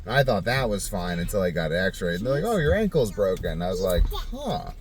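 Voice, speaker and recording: A man's voice: deep voice, big pitch swings, a little fast. A man talking casually off the cuff for a video diary, thinking aloud in a rambling way. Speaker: vlogger.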